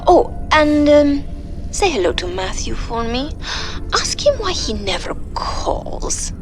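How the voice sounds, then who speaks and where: Sci-fi game mercenary queen: cunning, flirtatious woman, slow teasing delivery, high and lilting. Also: big pitch swings, over music, full character voice. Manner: playful, drawling, teasing. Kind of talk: dramatic sci-fi game cinematic acting.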